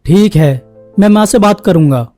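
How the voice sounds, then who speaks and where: A woman's voice: mid-range voice, expressive, normal speed, dark tone, faint music behind, close to an everyday voice. A woman voicing an earnest young man, a dutiful son and husband, explaining himself in a cartoon story. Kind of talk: Hindi cartoon story voice acting.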